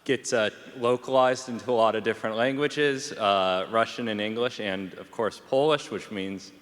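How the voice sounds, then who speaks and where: A man's voice: on the low side, normal speed, fairly bright tone. A man from the audience asking a question hesitantly, with many fillers. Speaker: audience member. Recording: convention hall reverb.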